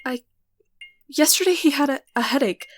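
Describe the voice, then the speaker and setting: High-voiced anxious mother played by a woman. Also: animated. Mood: worried. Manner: halting, emotional. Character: watchful, anxious wife and protective mother. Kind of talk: audio drama acting.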